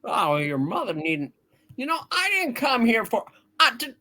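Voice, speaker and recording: A woman's voice: a little high, very animated, bright, forceful tone, exaggerated voice. A woman playing a flustered, stubborn young man who stammers, in a hammed-up amateur radio play reading. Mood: grumpy, awkward. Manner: stammering, halting, blustery.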